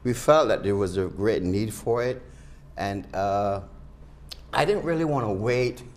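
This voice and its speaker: A man's voice: fairly low pitch, measured pace, slight background noise. An older man from Barbados, a pastor, answering interview questions earnestly with many restarts.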